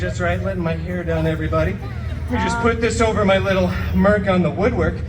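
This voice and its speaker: A high, swooping voice of a gleeful superhero storyteller hamming up a live comic stage show. He slips from mock-dignified grandeur into sarcastic, self-mocking asides. The sound is roomy, distant and noisy. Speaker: theme park stage show performer. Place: outdoor theme park stage. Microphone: amplified show, recorded from audience camera.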